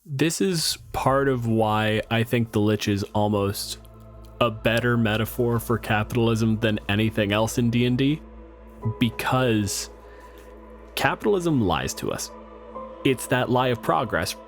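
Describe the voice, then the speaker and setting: Wry mid-pitched man hosting a podcast. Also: measured pace, soft background music. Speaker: podcast host. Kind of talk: casual podcast discussion.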